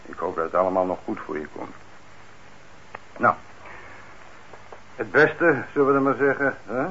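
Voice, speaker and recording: An actor playing a firm, fair-minded police officer in a radio drama, speaking in a direct, questioning way. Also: male voice, on the low side, expressive, moderate pace, pushed and bright. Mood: encouraging. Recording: dull, noisy old radio recording.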